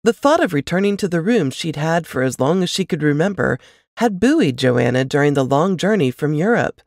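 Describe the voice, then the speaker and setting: A woman reading a novel aloud as an expressive scripted narration. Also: low-pitched, normal speed, dry recording, studio quality.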